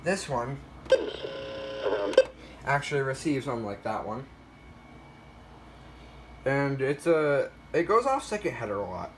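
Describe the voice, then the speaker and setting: A man's voice: medium pitch, big pitch swings, slow pace, pauses often, background noise. A man talking casually and off the cuff as he shows items in a collection, a bit self-deprecating. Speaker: collector showing a weather radio collection. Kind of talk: casual unscripted show-and-tell.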